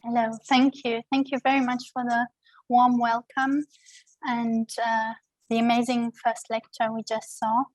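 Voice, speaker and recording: Earnest woman reading a lecture, even delivery. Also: on the high side, dry recording, low-quality recording. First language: German. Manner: earnest, measured, reads from notes. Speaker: guest lecturer. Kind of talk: reading a prepared lecture over video call. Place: home. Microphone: video call.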